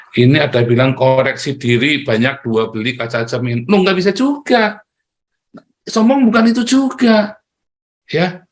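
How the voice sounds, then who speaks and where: A middle-aged man from Tegal with a Tegal accent, giving a lively motivational talk online, speaking straight to his listeners and acting out the voices he quotes. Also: mid-range voice, measured pace, pauses often. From Tegal, Central Java, Indonesia. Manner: animated, addresses listeners directly, acts out quoted voices, chuckles often.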